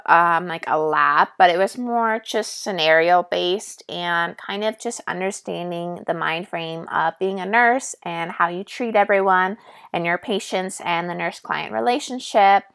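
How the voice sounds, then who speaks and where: A young woman from Canada with a raspy voice, a nursing student casually telling her story in a vlog with lots of fillers and asides. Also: medium pitch, a bit bright. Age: young adult.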